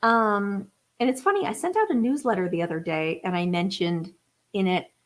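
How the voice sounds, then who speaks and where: A woman's voice: mid-range voice, normal speed. A woman in her thirties, from the Atlanta area, chatting casually on a live stream as a musician talking with her viewers, rambling with lots of fillers. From United States (Atlanta area). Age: 30s. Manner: chatty and rambling, many fillers, laughs often.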